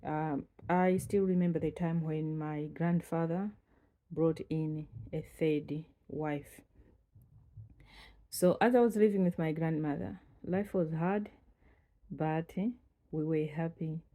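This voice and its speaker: Malawian woman in her 40s, low mellow voice, takes her time, lots of ums and pauses. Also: fairly even intonation. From northern Malawi. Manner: unhurried, with many pauses and fillers. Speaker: storyteller recounting her life. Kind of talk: reflective personal storytelling.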